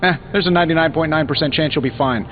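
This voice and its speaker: Guy acting a cocky sarcastic armored hero inventor, game dialogue, kinda dull audio w loud music under. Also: a little high, lightly acted. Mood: breezy, wry. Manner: glib, sarcastic, quick-witted. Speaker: video game voice actor.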